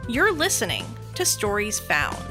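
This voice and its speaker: A woman from the United States hosting a podcast, with an upbeat, animated way of talking. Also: medium pitch, moderate pace, loud music behind the voice. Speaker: podcast host. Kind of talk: scripted introduction and chatty interview.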